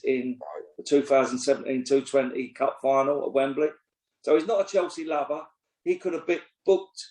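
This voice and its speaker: Man in his sixties, blunt and opinionated, slightly raised pitch, echoey room. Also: darkish tone. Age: 60s. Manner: blunt, opinionated, swears freely. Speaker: podcast co-host and pundit. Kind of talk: casual chat. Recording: roomy echo.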